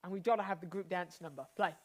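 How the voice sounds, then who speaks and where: A woman's voice: on the low side, fast talker. A woman acting as director and narrator of a live comic stage show, interrupting and stumbling over repeated words. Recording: live stage, faint music and audience.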